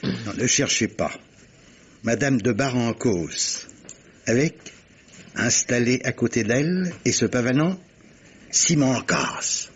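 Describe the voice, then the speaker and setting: An actor playing an urbane, clever gentleman captain and amateur detective in a 1950s-style French radio mystery, courtly and theatrical, savouring his words. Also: mid-range voice, animated, slow, long pauses, slightly rough. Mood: animated, teasing. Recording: muffled vintage radio recording, faint noise.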